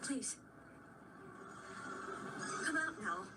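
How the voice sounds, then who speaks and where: A woman acting a frightened character calling out in a radio drama, in a very noisy recording. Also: extremely slow. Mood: fearful.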